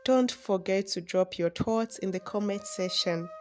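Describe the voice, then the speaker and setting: Female storyteller, scripted folktale narration, unhurried pace, average pitch, faint music behind. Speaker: storyteller and channel host.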